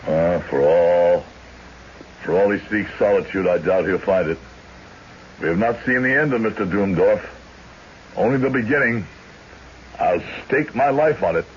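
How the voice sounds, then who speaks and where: An actor playing a grave, genteel county clerk in a radio drama, speaking in a measured, formal, portentous way. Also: male voice, deep voice. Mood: grave, foreboding. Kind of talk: old-time radio drama acting and narration. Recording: dull, hissy radio drama recording.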